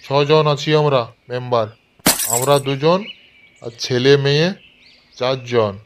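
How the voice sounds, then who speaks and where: A man's voice: mid-range voice, steady tone, takes it slowly, background noise, close to an everyday voice. A man voicing a short-tempered, foul-mouthed village uncle in a comedy cartoon, brusque and scolding, with a rural West Bengal Bengali accent. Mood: brusque, impatient. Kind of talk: amateur comedy cartoon dialogue.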